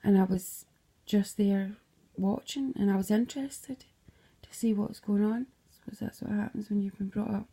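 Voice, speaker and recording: A woman's voice: medium pitch, steady tone, moderate pace, creaky, few pauses, mellow, husky. A Scottish woman casually retelling a dream, rambling, slipping into whispered asides and swearing freely.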